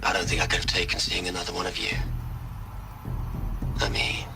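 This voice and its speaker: An actor playing a tender, grieving scientist who records a halting eulogy in a dramatic video game. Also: male voice, low voice, completely flat delivery, vocal fry, noisy background. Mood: tender grief. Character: grieving male scientist, tender, hesitant, reverent. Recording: music behind the voice.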